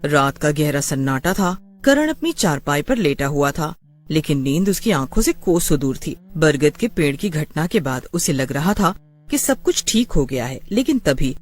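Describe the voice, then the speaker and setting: A man's voice: fairly high pitch, sing-song, moderate pace. A man narrating a scripted horror story in a dramatic storytelling style.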